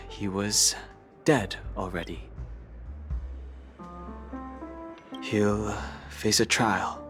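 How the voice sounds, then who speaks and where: A man voicing a mature, thoughtful gentleman in a video game, calm, polite and deliberate. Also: fairly low pitch. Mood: somber. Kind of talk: scripted game dialogue. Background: faint background music.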